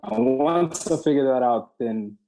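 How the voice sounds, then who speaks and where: A man in his early twenties, a panel guest answering reflectively with hesitant pauses. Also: a little high, big pitch swings, creaky, dark tone, rough recording. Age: 20s. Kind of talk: casual group discussion.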